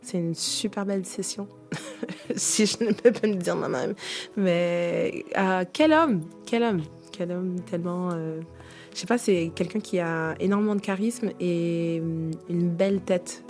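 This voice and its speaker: Enthusiastic singer, mellow low voice. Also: female voice, unhurried, faint music behind. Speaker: singer guest. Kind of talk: casual radio interview.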